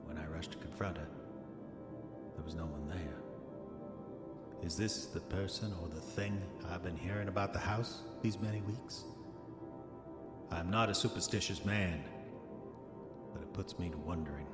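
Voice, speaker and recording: Man acting a deep-voiced, haunted old-time diarist. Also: lightly acted. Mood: haunted, puzzled. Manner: formal, measured, insistent. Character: troubled early-1900s man, proudly rational, writing his diary. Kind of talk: dramatic first-person diary reading in audio horror drama. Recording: roomy echoing reverb, music underneath. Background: music.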